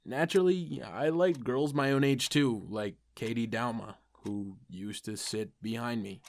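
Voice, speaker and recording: A man's voice: medium pitch, unhurried, lightly acted. A man playing a wry, self-deprecating ordinary teenage boy who narrates his superhero story in a comic dub, confiding and sardonic.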